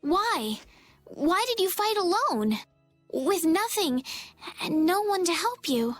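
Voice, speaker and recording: Earnest young game heroine, very high voice, expressive swings, music behind. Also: female voice, takes it slowly, no echo, full character voice. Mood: pained pleading. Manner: earnest, emotive. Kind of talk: video game voice acting. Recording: music under the dialogue.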